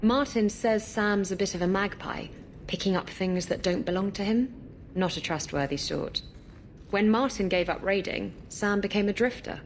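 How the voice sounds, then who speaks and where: A woman's voice: medium pitch, moderate pace, slight background noise, natural voice. A woman playing a brash, evasive female pirate rogue in a video game, with a glib, wheedling, flippant manner.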